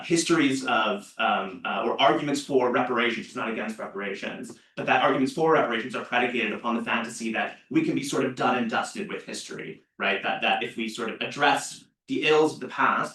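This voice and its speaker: A man's voice: medium pitch, bright, forceful tone. A man in a seminar audience asking a long academic question, hesitant, with many fillers and restarts. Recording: roomy seminar room, far from mic.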